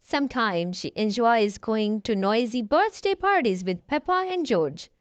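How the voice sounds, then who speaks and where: A woman reading a children's storybook aloud, addressing young listeners in an expressive storytelling manner. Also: fairly high pitch, a little slow, no room sound. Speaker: children's storybook reader, channel host.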